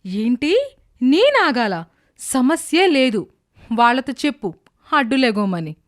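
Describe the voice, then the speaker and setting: A woman's voice: high voice, sing-song, slow, heavily acted. A woman voicing a haughty, spiteful rich village woman with an imperious, scolding manner in a children's cartoon tale. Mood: haughty, demanding. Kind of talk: children's animated moral tale dubbing.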